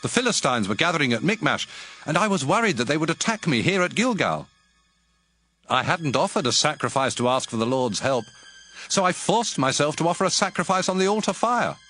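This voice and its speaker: A man's voice: fairly high pitch, big pitch swings, fairly quick, sharp tone, faint music behind. A man playing an anxious, self-justifying king, urgent and defensive, in a dramatized audio Bible.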